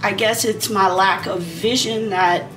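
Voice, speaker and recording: A woman in her forties, a beauty vlogger, chatting casually and rambling while she does her makeup, her voice a bit hoarse from a sinus issue. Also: mid-range voice, fairly even intonation, measured pace, recorded from a distance, over music. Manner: rambling and conversational, talking while applying makeup.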